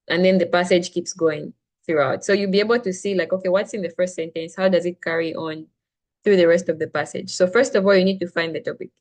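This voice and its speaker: A young woman from Zambia teaching a casual reading class, chatty and full of "like" and "right?" as she explains. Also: fairly low pitch. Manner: chatty, frequent "like" and "right?", restarts sentences. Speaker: SAT reading tutor.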